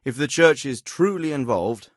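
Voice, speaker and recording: An actor playing a stern, dry-witted middle-aged medieval lord in a video game, speaking with formal, measured authority and a wry, sardonic edge. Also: male voice, mid-range voice, animated, no room sound, close to an everyday voice. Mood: grave. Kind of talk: video game dialogue acting.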